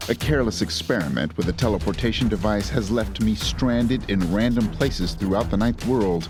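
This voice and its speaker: Music swells behind an actor as a stranded male traveler. His lowish voice narrates a survival journal in dramatic, scripted trailer style. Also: normal speed, lightly acted. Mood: grave, determined.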